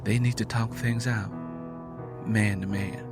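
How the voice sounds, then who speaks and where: A man's voice: lowish voice, level tone, unhurried, over loud music, natural voice. A man voicing a weary, stoic mother of a poor rural family in an audiobook drama, with folksy dialect. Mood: hollow, composed.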